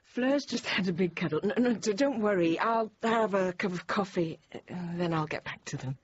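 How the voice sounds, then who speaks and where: An actress playing an anxious, tender thirty-year-old infant teacher, confiding her account in halting, reflective phrases in a radio drama. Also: mid-range voice, lively intonation, lightly acted. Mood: reassuring. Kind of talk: radio drama monologue, intimate first-person narration. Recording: dull radio sound with faint noise.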